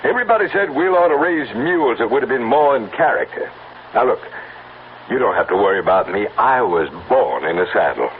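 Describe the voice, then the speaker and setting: An actor playing a cocky, persistent, smooth-talking horseman in an old-time radio drama. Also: medium pitch, very animated, brisk, close to an everyday voice. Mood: cocky, wheedling. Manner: smooth-talking, insistent, self-assured. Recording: tinny band-limited old radio recording with hiss.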